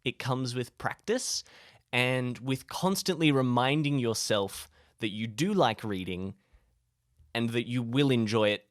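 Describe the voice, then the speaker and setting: A man's voice: mid-range voice, measured pace, no room sound, studio quality. A man giving casual advice to camera in a playful, self-deprecating way with comic asides. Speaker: YouTube creator sharing tips.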